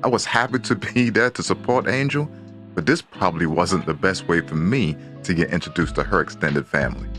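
A man's voice: low-pitched, fairly quick, a bit bright, soft background music. A man playing a laid-back, blunt husband in casual drama dialogue and reflective narration.

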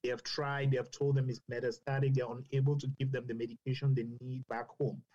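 A man from Cameroon, a doctor speaking as a guest in a panel discussion, passionate and measured, often repeating phrases for emphasis. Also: medium pitch, level tone, mellow, highs cut off.

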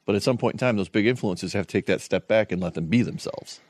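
A man's voice: on the low side, quick pace, darkish tone, dry recording. A man co-hosting a podcast in casual chat, rambling and self-deprecating, with many restarts. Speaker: podcast co-host.

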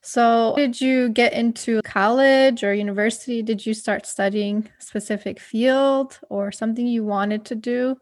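A woman's voice: fairly high pitch, steady tone, unhurried, few pauses. A woman from Kosovo interviewing a guest, asking questions and reflecting at length in an engaged, conversational way.

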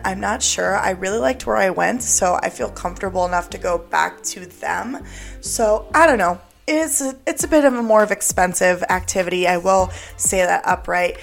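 A young woman hosting a podcast, talking casually and rambling, her voice raspy and smoky from a cold that has left her throat sore. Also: fairly low pitch. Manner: chatty, rambling, lots of "like" and "um". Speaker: podcast host. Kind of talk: casual solo podcast talk.

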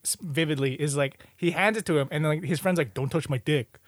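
A man's voice: a little high, fast, very noisy. A young man chatting casually and crudely with friends as a podcast co-host, full of slang like bro and dude.

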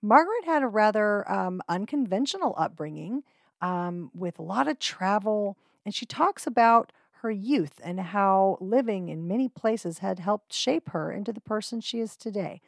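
A woman's voice: mid-range voice, expressive, moderate pace, creaky, no room sound, clean studio sound. A woman hosting a podcast, introducing guest clips in an upbeat, friendly way with casual "um"s. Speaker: podcast host.

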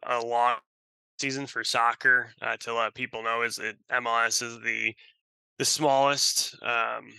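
Bright, crisp-voiced man, even delivery. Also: mid-range voice, measured pace, no echo, low-quality recording. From Russia. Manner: rambling, peppered with "you know". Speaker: podcast guest, sports franchise sales and service executive. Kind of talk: casual interview answers.

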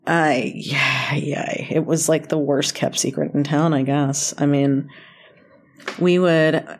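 American woman with a lowish voice, chatting casually, kinda self-deprecating, telling stories like an interview guest. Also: steady tone, slow pace. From North Carolina, United States. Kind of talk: casual conversational storytelling.

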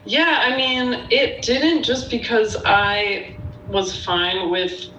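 Front woman guest, candid and relaxed, echoey far-off mic. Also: takes it slowly, muffled recording, faint music behind. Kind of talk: casual interview chat. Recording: roomy, distant remote mic with faint rumble.

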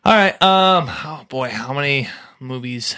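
A man co-hosting a casual podcast, full of puns and quick joking asides. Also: mid-range voice, expressive, sharp tone. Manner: jokey, punning, quick asides. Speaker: podcast co-host.

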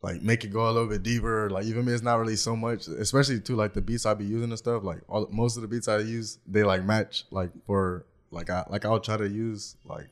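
A deep-voiced man with a Southern Californian accent chats easily as a podcast guest. His low voice stays relaxed, and he leans on fillers and "you feel me" as he tells his story. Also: a little fast, hardly any pauses. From Anaheim, California. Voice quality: naturally deep voice. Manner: laid-back, filler-heavy, says "you feel me" a lot. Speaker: guest rapper. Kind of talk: casual podcast chat.

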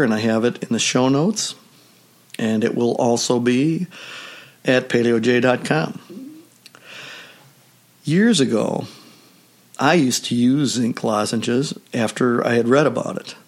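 A man hosting a solo health podcast with a measured, steady delivery, still hoarse from a recent cold. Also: medium pitch. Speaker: podcast host.

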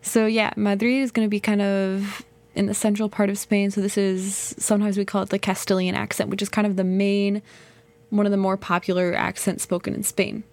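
A young woman hosting a casual solo podcast, chatty and thinking out loud, often saying "kind of" and "like". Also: medium pitch, little pitch movement, fairly quick, gravelly, no echo. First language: English. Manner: chatty, thinks aloud, many "kind of" and "like" hedges. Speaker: podcast host.

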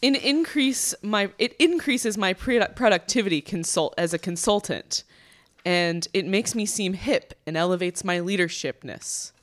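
A woman's voice: mid-range voice, lively intonation, moderate pace, pitched below the performer's own voice, natural voice. A woman playing a pompous, jargon-spouting consultant boss who gets defensive, in a comedy table read. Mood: smug, defensive. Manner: indignant, pompous corporate talk.

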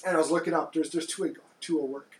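A deep-voiced man co-hosting a podcast, talking softly and hesitantly, with lots of restarts. His voice bounces around a roomy space, and a faint noise sits under it. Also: steady tone, quick pace. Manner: soft-spoken, hesitant, many restarts. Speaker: podcast co-host. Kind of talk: casual chat.

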